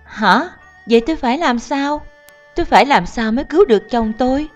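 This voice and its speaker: A woman voicing an anxious young wife in a dubbed animated film. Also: high voice, brisk. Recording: muffled audio under music.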